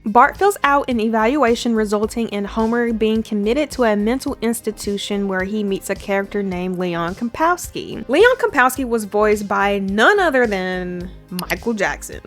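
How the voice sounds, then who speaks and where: A woman's voice: a little high, a bit bright. A woman hosting a video countdown in a conversational scripted narration, with playful asides to the viewer. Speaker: host of a video essay channel. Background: background music.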